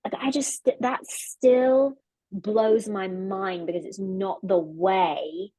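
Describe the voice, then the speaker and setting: A woman with an English accent talks through her life in a relaxed conversation. She is earnest and reflective, circling back and restarting her phrases as she thinks. Her voice sits at a middle pitch and is recorded close and dry, with no room around it. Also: no room sound, low-quality recording. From London. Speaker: podcast guest, leadership coach. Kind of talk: casual conversation.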